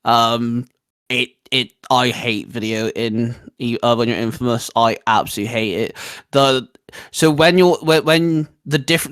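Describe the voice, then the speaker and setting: A man chatting casually and giving emphatic opinions as a co-host, with halting, repetitive phrasing. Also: medium pitch, a bit bright, clean tone. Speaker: co-host ranking video game powers.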